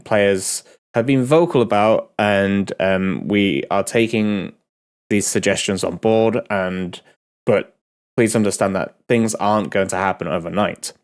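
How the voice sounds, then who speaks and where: A man hosting a casual game developer Q&A, a bit ill and nasal, rambling with many pauses and restarts. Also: on the low side. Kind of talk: casual dev talk Q&A.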